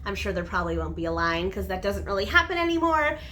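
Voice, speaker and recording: A woman's voice: mid-range voice, sing-song, fast, sharp tone. A woman giving a casual, enthusiastic review to camera as a vlogger, stressing and repeating words for emphasis.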